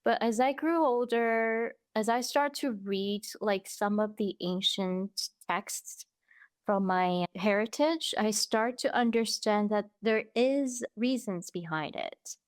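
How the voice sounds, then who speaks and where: Slow-speaking woman from Taiwan. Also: medium pitch, no echo. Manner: slow, measured, searching for words. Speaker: interview guest. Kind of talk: casual interview chat.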